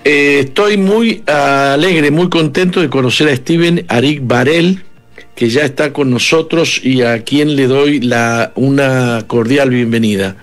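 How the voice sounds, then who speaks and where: A man's voice: medium pitch, unhurried, soft background music. A man hosting a radio interview with a Uruguayan Spanish accent, asking long, wandering questions. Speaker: radio host.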